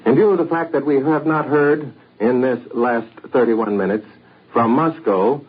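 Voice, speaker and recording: An American man anchoring a 1940s radio news broadcast in a measured, formal way, on an old radio recording. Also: mid-range voice, husky, phone-quality sound.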